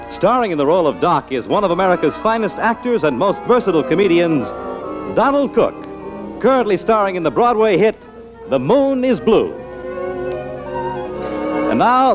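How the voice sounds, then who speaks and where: High-pitched, animated vintage radio announcer man. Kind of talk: 1950s radio announcing, scripted sign-off. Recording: muffled old radio broadcast, music behind. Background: music.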